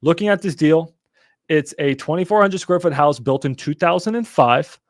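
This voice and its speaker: Young man, livestream host, casual teaching, excitable, higher voice, dry close sound. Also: moderate pace, dry recording. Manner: excitable, swears when exasperated, talks to chat. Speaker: real estate wholesaling livestream host.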